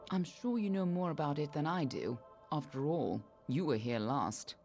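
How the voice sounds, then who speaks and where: A woman's voice: low voice, normal speed, darkish tone. A woman playing a guarded, sardonic warrior-spy in a fantasy video game, speaking in a dry, wry, confiding way. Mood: wry resolve. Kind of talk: video game character dialogue. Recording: music behind the voice.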